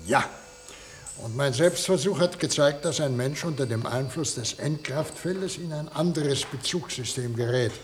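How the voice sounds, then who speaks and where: A man acting in a radio play as an earnest professor leading an experiment, explaining in a measured, serious way. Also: fairly high pitch, lightly acted. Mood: earnest, explanatory. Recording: noisy old radio play recording.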